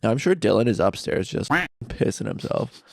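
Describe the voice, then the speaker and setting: A man co-hosting a casual podcast from a friend's basement, bantering and joking quickly. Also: on the low side, animated, vocal fry, a little mellow, no echo, studio quality. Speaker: podcast co-host. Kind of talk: casual chat.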